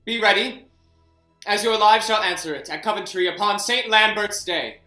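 A man's voice: high voice, moderate pace, pushed and bright, echoey room, faint music behind, low-quality recording. A man voicing a commanding young king in a Shakespeare reading over a video call, with regal verse delivery. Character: King Richard, commanding young male monarch. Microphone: video call webcam.

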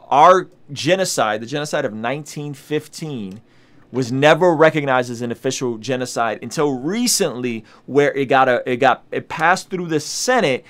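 A man's voice: medium pitch, clear, resonant tone. Earnest man talking straight to camera. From Azerbaijan. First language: Russian. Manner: earnest, animated, many restarts and fillers. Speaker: video host. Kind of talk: casual commentary to camera, reading news aloud. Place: small indoor studio with colored lights.

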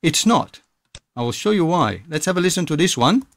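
A man's voice: fairly high pitch, sing-song, a little fast, gravelly. A man presenting a music production tutorial in a casual, explanatory, informal way. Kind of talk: casual software tutorial narration.

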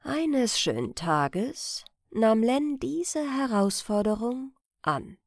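A woman's voice: a little high, very animated, slow, no echo, clean studio sound. A woman narrating a scripted crime story as an audiobook reader.

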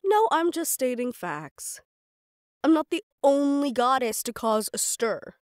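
A woman's voice: high-pitched, sing-song, a little slow, darkish tone, no echo, clean studio sound. A woman playing a stubborn, sarcastic young goddess in an audio roleplay drama, teasing and defiant.

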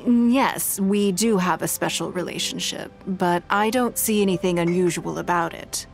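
A poised, wealthy businesswoman in a video game, pitched a little low. She talks in a measured, composed, authoritative way. Soft game music sits faintly behind her. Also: gravelly.

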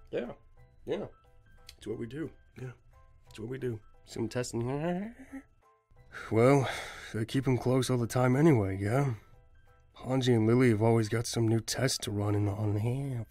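A man's voice: medium pitch, a little slow, long pauses, mellow, dry recording. A man, an amateur voice actor, joking and mocking himself as he retries lines, music behind him. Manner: joking, self-mocking, mumbling. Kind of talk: outtake chatter and line retakes.

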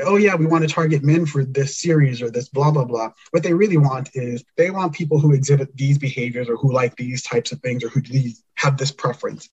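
Podcast guest, man, earnest explainer, husky voice, slightly muffled remote audio. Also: mid-range voice, a little mellow. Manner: earnest, explanatory, tag questions. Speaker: podcast guest, company founder. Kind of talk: conversational interview.

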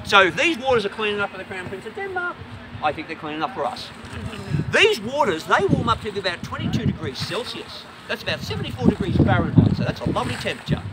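A man's voice: high voice, sing-song, fast talker. A man guiding a walking tour outdoors, talking wryly and conversationally to his group. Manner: wry, conversational, addresses the group as folks. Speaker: tour guide. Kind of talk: guided tour talk. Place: outdoors in a city square and harbour. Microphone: vlogger's phone, from some distance. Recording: distant voice under loud wind.

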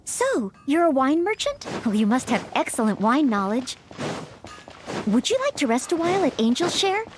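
A high-voiced, polite young maid knight. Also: female voice, very animated, normal speed, background noise. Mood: eager hospitality. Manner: courteous, apologetic, dutiful. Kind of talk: video game character acting.

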